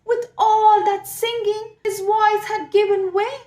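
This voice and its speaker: A woman telling a story to children expressively, with dramatic, drawn-out emphasis. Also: very high-pitched, little pitch movement, a little mellow. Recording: echoey room.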